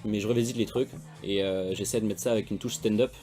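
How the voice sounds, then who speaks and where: A man's voice: lowish voice, steady tone, fast, mellow, faint music behind. A young man from France, a magician guest, chatting casually in slangy, rambling talk. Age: young adult. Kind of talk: casual interview chat.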